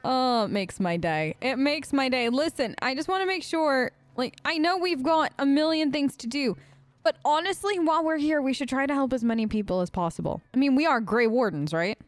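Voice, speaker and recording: A woman's voice: high-pitched, brisk, no echo. A woman hosting a gaming video, role-playing her side of the dialogue in a chatty, wry, informal way. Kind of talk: let's play role-play, casual in-character dialogue.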